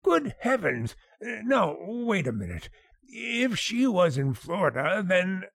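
Actor as a husky, high-pitched, blustery older boss. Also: male voice, sing-song, takes it slowly, fairly bright tone, no room sound, studio quality, heavily acted. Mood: astonished, hearty. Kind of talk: old-time radio sitcom acting.

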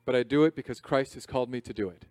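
A man in his thirties preaching a sermon in a conversational, self-deprecating way, swinging between loud emphasis and quiet asides. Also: medium pitch, fast, no echo. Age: 30s. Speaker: pastor.

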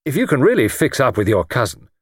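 A man's voice: mid-range voice, huge pitch swings, fast talker, husky, dry recording, clean studio sound. A man voicing an earnest, thoughtful amateur sleuth in audiobook dialogue, a hearty, slangy male friend. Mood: relieved, grateful.

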